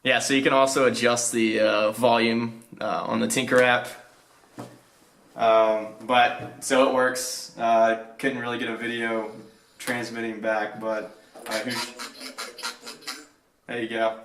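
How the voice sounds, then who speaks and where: A man's voice: mid-range voice, flat delivery, slow, pauses often, noisy background. A young man giving an informal walkthrough of a student project, talking in a hesitant, laid-back, off-the-cuff way. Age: young adult. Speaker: student presenter.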